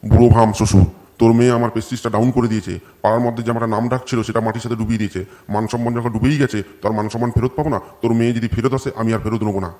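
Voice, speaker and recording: A man's voice: mid-range voice, fairly even intonation, racing, dark tone, close to an everyday voice. A man playing an aggrieved, stubborn village husband in a comedy cartoon, whining defiantly in crude words. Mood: bitter.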